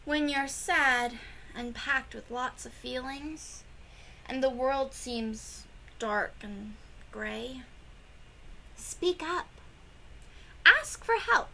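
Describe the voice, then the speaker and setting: A woman reading a picture book aloud to young children, with expressive emphasis and questions to her listeners. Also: high voice, drawn-out words, gravelly, pauses often, a little hiss or hum, low-quality recording. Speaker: children's read-aloud host.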